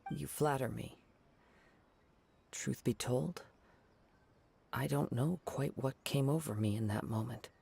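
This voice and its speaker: A man playing a courteous, gentle young wandering samurai in a video game, speaking in a composed, formal way. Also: medium pitch, slow pace, creaky, pauses often, slightly rough, heavily acted. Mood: humble.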